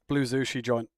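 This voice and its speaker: A man's voice: medium pitch, darkish tone, no echo, clean studio sound. A man chatting casually on a live stream, rambling and answering viewer comments. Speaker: live streamer reacting to chat. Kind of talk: casual chat.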